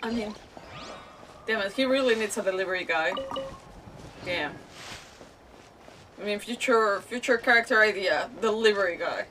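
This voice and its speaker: A woman's voice: mid-range voice, normal speed, pauses often, distant mic, background noise. A woman streaming a game with casual commentary, thinking aloud hesitantly and repeating words. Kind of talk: casual live gameplay commentary. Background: game music.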